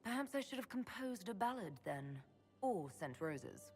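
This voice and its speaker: A woman's voice: mid-range voice, normal speed, lightly acted. An actress playing a composed female leader who openly admits her feelings. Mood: candid. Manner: calm, direct and dry. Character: composed female leader of an order, candid in romance. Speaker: player character. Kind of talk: video game dialogue. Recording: loud music underneath.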